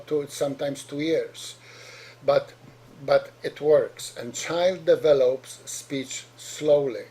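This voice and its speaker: A man's voice: medium pitch, dark tone, breathy edge, rough recording. A middle-aged man from Poland with a heavy Polish accent, a doctor giving an earnest explanatory talk to parents, often repeating and restarting words.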